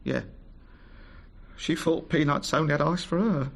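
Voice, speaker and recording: An actor playing a hesitant, plain-spoken working-class London defendant, halting and slangy, in a British radio drama. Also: male voice, fairly high pitch, vocal fry. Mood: reluctant, halting.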